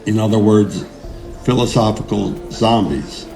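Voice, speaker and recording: Idealistic neuroscientist. Low, mellow, deliberate; audio drama lead. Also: male voice, gravelly, faint music behind. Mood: measured, grave. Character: earnest idealistic male neuroscientist, defiant under pressure. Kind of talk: audio drama narration and dialogue.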